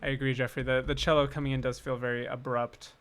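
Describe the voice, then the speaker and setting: A man's voice: mid-range voice, level tone, fast. A man hosting a casual composing livestream, thinking aloud and chatting to viewers with self-deprecating asides. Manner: musing, self-deprecating asides, talks to chat. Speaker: music composer and streaming host. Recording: loud cello and piano music behind. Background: cello and piano music being composed.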